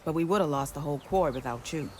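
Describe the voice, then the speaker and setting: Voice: medium pitch, racing, darkish tone, lightly acted. Folksy, plain-spoken quarry worker, acted. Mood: weary, grateful. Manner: folksy, matter-of-fact. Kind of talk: video game cutscene acting. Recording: faint music behind the voice.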